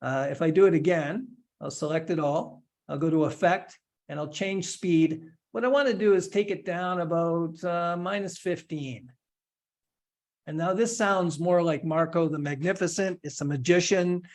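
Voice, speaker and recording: Male instructor in his forties, casual tutorial delivery, step-by-step with light humor, slightly high voice. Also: normal speed, a little mellow. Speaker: instructor giving a software demo. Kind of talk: screen-share tutorial, casual explanation.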